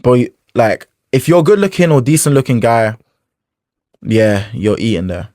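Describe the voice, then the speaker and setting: A young man, telling stories in a boastful, lively, slangy way. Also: medium pitch, moderate pace. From London. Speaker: interviewee. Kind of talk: casual chat.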